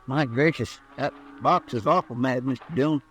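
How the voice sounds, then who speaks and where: An actor playing a naive, worried, talkative deputy sidekick with a folksy American Western accent in a radio drama. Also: male voice, mid-range voice, moderate pace, fairly bright tone, a little hiss or hum, close to an everyday voice. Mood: worried, curious. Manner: naive, deferential. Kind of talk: old-time Western radio drama acting. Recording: vintage radio broadcast recording.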